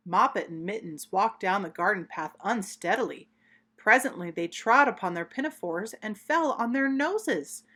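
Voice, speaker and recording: Female children's storybook narrator, mid-pitched, very animated, expressive and deliberate in delivery. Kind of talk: expressive read-aloud storytelling.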